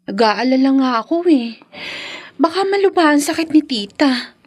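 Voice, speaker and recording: A woman's voice: sits high, expressive, normal speed. A Filipino woman acting as a tender, anxious young mother in a radio drama, cooing and meek. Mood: apologetic, worried. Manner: soft cooing, meek and worried.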